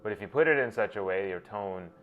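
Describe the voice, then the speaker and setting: A man with a lowish voice, a native Gujarati speaker, talks through his ideas in a thoughtful monologue and often circles back to restart a phrase. The sound is a bit dull and lo-fi, with faint music underneath. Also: lively intonation, quick pace. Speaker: host. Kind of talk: reflective monologue.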